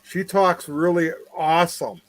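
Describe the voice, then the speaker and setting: A man with a Wisconsin accent, his voice sitting a bit higher than most men's, chatting casually over a video call. He stops and restarts often, repeating words as he gathers his thoughts. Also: steady tone, unhurried. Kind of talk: casual live chat.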